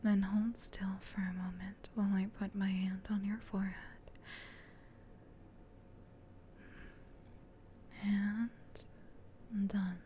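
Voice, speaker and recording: A woman voicing a gentle, protective female demon in a soft, soothing whisper for an ASMR comfort roleplay. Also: medium pitch, level tone, a little hiss or hum, natural voice. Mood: gentle, explaining. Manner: soothing, tender, reassuring, unhurried.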